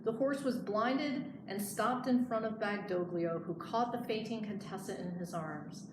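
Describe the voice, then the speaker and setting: A woman librarian reading a picture book aloud in an expressive storytelling style. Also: mid-range voice, a little slow. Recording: echoey room, clear background noise.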